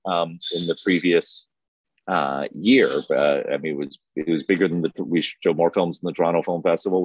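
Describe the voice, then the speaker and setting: A man answering interview questions as a film festival guest, speaking in a halting way with frequent repeated words. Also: deep voice, no echo, muffled recording. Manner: halting, hesitant phrasing with frequent self-repetition.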